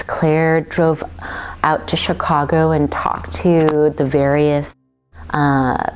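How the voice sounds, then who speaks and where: A woman artist from Taiwan talking about her work in a thoughtful, unhurried way, with many fillers and restarts. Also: deep voice, darkish tone, smooth. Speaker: visual artist.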